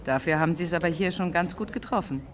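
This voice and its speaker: A woman's voice: on the low side, quick pace, slight background noise, natural voice. An actress playing a cool, witty, forward woman in a naturalistic radio drama. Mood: teasing, curious.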